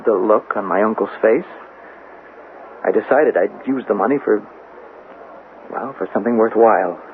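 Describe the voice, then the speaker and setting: An American actor playing a defensive, plaintive young washed-up athlete in a 1970s radio drama. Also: male voice, on the low side, moderate pace, close to an everyday voice. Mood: subdued. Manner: protesting, hesitant, plaintive. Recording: tinny, hissy old radio broadcast.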